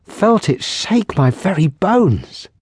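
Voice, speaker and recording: A man's voice: a little high, sing-song, normal speed, darkish tone, breathy edge, dry recording, studio quality, voice pushed up, full character voice. A man reading an audiobook, voicing a frightened young male patrol soldier who stammers with fear. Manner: stammering, fearful.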